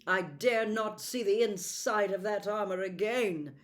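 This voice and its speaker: A woman's voice: medium pitch, a little slow, close to an everyday voice. A chivalrous young prince from a storybook, played by a woman. The speech is courtly and formal, projected firmly as if proclaiming. The manner is valiant and noble throughout. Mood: resolute. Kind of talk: audiobook character voice.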